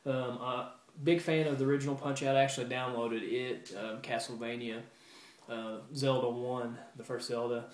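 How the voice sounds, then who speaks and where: A man giving a casual, halting and rambling show-and-tell update to his viewers, like a hobby video game vlogger. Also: medium pitch, level tone. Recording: roomy echo with background hiss.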